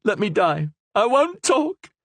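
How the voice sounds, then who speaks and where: A man's voice: very animated, slow, no echo, heavily acted. A starving prisoner woman, as a male narrator puts her on, near-shrieking in desperation. Her voice leaps to extreme heights and swings wildly between cries. A dry, close storybook reading. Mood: frantic pleading. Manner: desperate, shrill, pleading cries. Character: starving half-mad woman prisoner, frantic.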